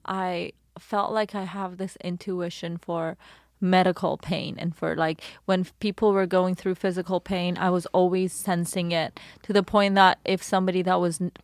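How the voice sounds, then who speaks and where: A woman in her thirties from Iran, a guest telling her story in a casual interview, expressive and full of "like". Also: on the low side, moderate pace, no room sound, clean studio sound. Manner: expressive, fillers like "like".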